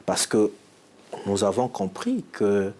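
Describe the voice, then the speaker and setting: Emphatic Congolese man, low voice. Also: very animated, dark tone. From Democratic Republic of the Congo. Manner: emphatic, rhetorical, measured phrasing. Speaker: provincial education official. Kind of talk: field interview statement.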